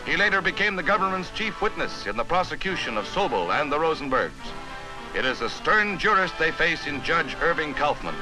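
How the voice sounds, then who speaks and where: A man's voice: a little high, poor audio. An American man narrating a 1950s newsreel in a formal, emphatic, dramatic announcer's delivery. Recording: vintage newsreel soundtrack with music.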